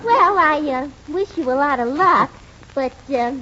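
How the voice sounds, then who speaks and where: A brash, man-hungry bakery clerk in a 1940s radio comedy. Her voice is extremely high and swoops around as she delivers deadpan wisecracks. The old broadcast sounds muffled. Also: measured pace, a crowd in the background. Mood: chatty, hinting. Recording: vintage 1940s radio, muffled, faint noise.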